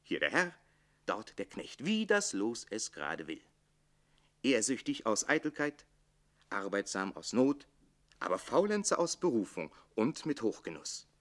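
A witty, self-pitying barber-servant declaims his monologue for a radio drama. The voice sits fairly low and moves very expressively, swinging between flourish and hush. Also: wildly swooping pitch, unhurried. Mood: lamenting, ironic. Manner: declamatory, swinging from exuberant to hushed.

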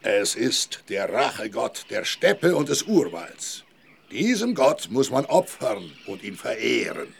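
A man's voice: mid-range voice, big pitch swings, slow, gravelly, slight background noise, full character voice. An actor playing a solemn, portentous village medicine man in a children's audio drama. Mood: solemn, secretive. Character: ominous male medicine man of an African village.

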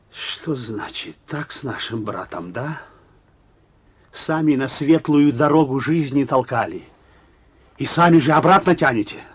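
An actor playing a young reformed thief learning to drive, defiant and hot-tempered, gruff and slangy, in a Russian radio drama. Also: male voice, medium pitch. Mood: bitter. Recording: old radio play recording.

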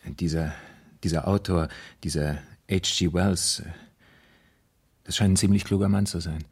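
A troubled, fearful man in a radio crime play. His voice is low and husky. He speaks haltingly, repeating words as he confides his dread. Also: fairly even intonation, exaggerated voice. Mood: polite, uneasy. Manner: hesitant, halting, repeating himself. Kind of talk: German radio crime drama acting.

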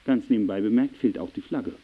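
Man acting insistent male radio announcer, declamatory, phone-like sound, loud music behind, radio play. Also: lowish voice, brisk, close to an everyday voice. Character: male radio broadcaster addressing listeners with conspiracy evidence. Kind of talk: radio drama, mock broadcast narration. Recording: tinny band-limited sound under loud music.

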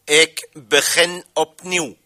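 An actor playing a stern, controlling man who makes deliberate declarations in a radio play. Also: a little high, fairly even intonation, sharp tone, heavily acted. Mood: commanding, deliberate. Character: stern controlling male Opener who commands the voice. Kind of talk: Dutch radio play acting.

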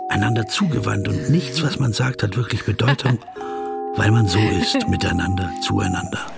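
An actor playing a pensive, insecure German business traveller in a radio play, brooding and wordy, sometimes awkwardly polite. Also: male voice, on the low side, moderate pace, creaky, loud music behind the voice. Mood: brooding, wistful. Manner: brooding inner monologue, shifting to awkward small talk. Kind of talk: dramatised literary monologue, radio play.

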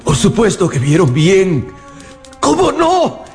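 Radio drama: frozen, obsessed male pursuer, anguished and halting, strained high register with gravelly fry. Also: huge pitch swings, darkish tone, soft background music, full character voice. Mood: anguished, desperate. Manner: halting, anguished, repeating phrases. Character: exhausted, frozen man obsessed with killing a monster.

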